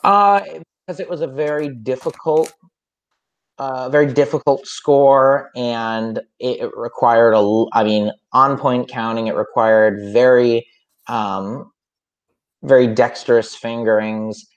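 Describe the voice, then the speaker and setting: A man's voice: mid-range voice, fairly even intonation, a little slow. Nasal-voiced man in muffled lo-fi audio. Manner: rambling, hedging, many fillers and restarts. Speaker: music teacher and podcast co-host. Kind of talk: casual podcast chat.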